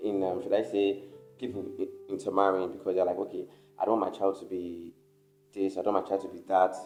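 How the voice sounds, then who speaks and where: A man co-hosting a casual podcast chat, agreeable and hesitant, often repeating himself and filling pauses. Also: deep voice, level tone, darkish tone, faint music behind. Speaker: podcast co-host. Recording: roomy echo.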